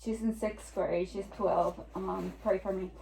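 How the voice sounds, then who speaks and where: A woman's voice: mid-range voice, steady tone, a little slow, gravelly, warm tone, a little hiss or hum. A woman in her fifties with a Puerto Rican accent, giving an informal, self-deprecating talk as an attorney. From Puerto Rico. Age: 50s. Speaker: estate planning attorney, speaker. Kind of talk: informal presentation. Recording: echoey room.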